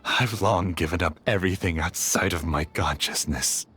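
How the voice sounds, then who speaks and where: Despairing scholar, very low voice, detached and subdued, game drama, faint music. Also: male voice, moderate pace, bright, forceful tone. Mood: resigned, bleak. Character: world-weary, resigned male scholar who has given up on reality. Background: background music.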